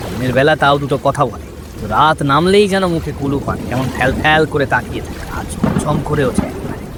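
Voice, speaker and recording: A scoffing village gossip played by a man in a story drama. He talks in an opinionated, colloquial way at a middle pitch, with clear rain and water noise around him. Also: fast, close to an everyday voice. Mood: dismissive gossip. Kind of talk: audio story dialogue acting.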